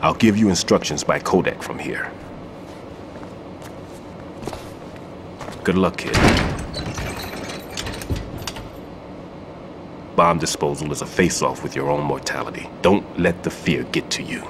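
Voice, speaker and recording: An actor playing a grave, weary older bomb-disposal expert in a video game, measured and brooding. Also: male voice, very deep voice, noisy background. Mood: grave, advising. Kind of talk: dramatic video game acting. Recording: background music under the voice.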